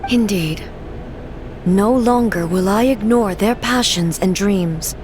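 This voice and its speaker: A woman's voice: mid-range voice, noisy background, natural voice. A woman voicing a solemn goddess ruler. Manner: measured, formal, solemn. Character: stately female god-ruler, calm, resolute. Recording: game audio with loud music.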